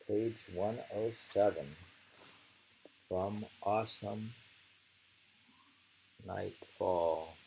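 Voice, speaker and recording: Male poetry reader, lowish mellow voice, very unhurried delivery with halting, casual asides. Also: rough recording. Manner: unhurried, halting, repeats lines. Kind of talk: slow poetry reading with casual commentary. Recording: faint hiss.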